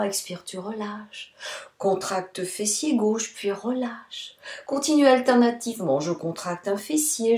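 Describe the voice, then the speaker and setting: A woman leads a guided relaxation as a yoga nidra teacher. Her delivery is very unhurried and soothing, with short phrases set apart by pauses. The voice is mellow and soft-edged, in a roomy, echoing sound. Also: fairly high pitch. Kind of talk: guided relaxation meditation, spoken instructions.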